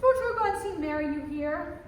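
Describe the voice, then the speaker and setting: Far off in a hall with music behind, a high school girl plays a bossy, motherly small-town woman in a radio play. Her voice swings widely as she coaxes and insists. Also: expressive, fairly quick. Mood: coaxing, insistent. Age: teens. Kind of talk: old-time radio play acting on stage. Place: high school theater stage.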